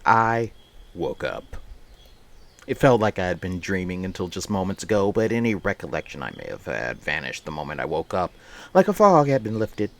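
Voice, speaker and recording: Low-voiced man, animated livestream chatter. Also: moderate pace. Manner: rambling, playful, chatting with viewers, repeats phrases. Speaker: live streamer. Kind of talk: casual livestream chat and reading a visual novel aloud.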